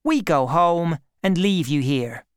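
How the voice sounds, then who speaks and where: A woman's voice: deep voice, lively intonation, takes it slowly, clear, resonant tone, no room sound, clean studio sound, pitched above the performer's own voice. A woman voicing a confident, cunning boy in a storybook, speaking in an assured, commanding way. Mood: coolly threatening.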